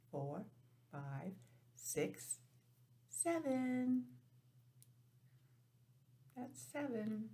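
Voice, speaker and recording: A woman teacher giving an informal, encouraging lesson to young children, sounding out letters and counting deliberately. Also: medium pitch. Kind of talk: children's lesson, read-aloud introduction.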